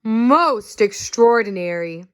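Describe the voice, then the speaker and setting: A woman voicing a fussy male doctor in a storybook, speaking in a measured way and rattling off symptoms. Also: on the high side, lively intonation, smooth. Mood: puzzled.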